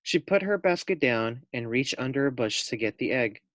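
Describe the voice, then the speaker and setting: A man's voice: mid-range voice, expressive, fairly bright tone, no echo, studio quality. A man reading a children's storybook aloud as a teacher, speaking simply and stepping out for casual asides to young listeners. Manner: slow, simple phrasing, addresses children directly.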